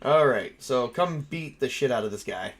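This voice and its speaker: A man casually narrating a tabletop role-playing game as game master, excitable and quick to swear. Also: mid-range voice.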